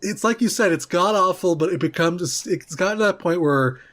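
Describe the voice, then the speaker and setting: A man's voice: high-pitched, moderate pace, a bit bright. Casual male podcast panelist. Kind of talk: casual panel chat about films.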